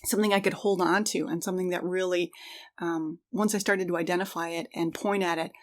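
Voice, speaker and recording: A woman's voice: lowish voice, steady tone, a little fast. A middle-aged woman co-hosting a podcast, talking casually and reflectively in an earnest way. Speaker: podcast co-host.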